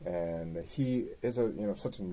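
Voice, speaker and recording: A man's voice: deep voice, moderate pace, dark tone, poor audio. A man co-hosting a podcast, telling stories casually in informal phrasing full of "you know". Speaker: co-host guest producer.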